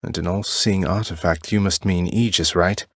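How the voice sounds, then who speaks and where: An actor playing a cocky, courteous young prince of the underworld in a video game, with a dry, understated delivery. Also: male voice, deep voice, fairly even intonation, normal speed, a bit bright, dry recording. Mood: respectful, earnest. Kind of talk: video game voice lines, short combat barks and dialogue.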